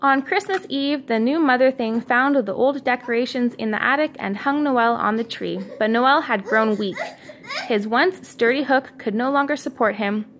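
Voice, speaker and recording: A woman's voice: on the high side, normal speed, a bit bright. A woman reading a children's story aloud as a parent would to a child, in a homemade recording. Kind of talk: read-aloud children's storytelling with casual asides. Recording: clear background noise, homemade recording.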